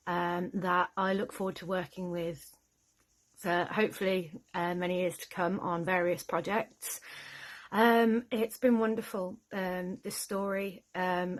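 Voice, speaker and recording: A woman's voice: lowish voice, level tone, takes it slowly, low-quality recording. A woman voice actor giving an unscripted thank-you message in an earnest, hesitant way.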